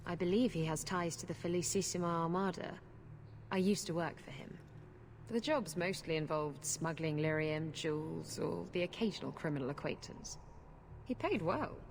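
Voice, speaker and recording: An actress playing a brash, flirtatious pirate captain in a video game cutscene, teasing and sardonic. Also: female voice, on the low side, normal speed, vocal fry, slight background noise. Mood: sober, reflective.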